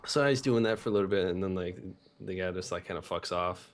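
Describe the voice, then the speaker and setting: A man's voice: deep voice, quick pace. A young man telling stories casually as a podcast co-host, rambling and swearing crudely for comedy. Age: young adult.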